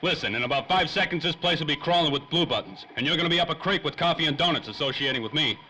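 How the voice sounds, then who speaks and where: A man's voice: a little high, expressive, fast talker, bright, forceful tone. An actor playing a world-weary, wisecracking private eye in a 1930s radio play, full of slangy tough-guy talk. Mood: urgent, wry. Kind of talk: old-time radio drama acting. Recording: faintly noisy old-time radio recording.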